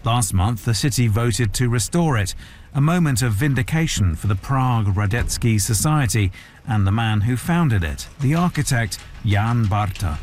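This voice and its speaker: A man's voice: medium pitch, lively intonation, moderate pace, a little mellow, slight background noise. A man working as a radio correspondent, narrating a scripted news report.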